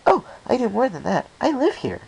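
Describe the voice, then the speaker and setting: A man's voice: very high voice, very animated, moderate pace, creaky, a little mellow, husky, pitched above the performer's own voice, full character voice, low-quality recording. A man voicing a hyper, cheerful young female storybook pony who chatters and rambles in a bouncy manner. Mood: bubbly, eager. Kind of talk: storybook character voice in fan fiction reading.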